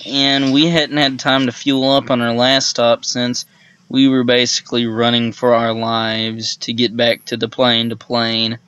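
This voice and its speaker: Male co-host, even delivery, smooth voice, improvised storytelling, slightly dull lo-fi recording. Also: mid-range voice, unhurried, no room sound.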